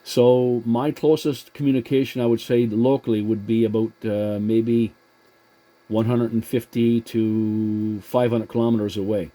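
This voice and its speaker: A man chatting casually as a CB radio operator, in a halting way that repeats words. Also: medium pitch, little pitch movement, mellow. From Atlantic Canada.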